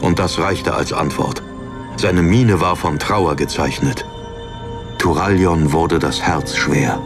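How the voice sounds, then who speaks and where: A man's voice: rumbling low, slow. A man reading a fantasy story as a scripted, dramatic audiobook narration. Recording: narration over background music.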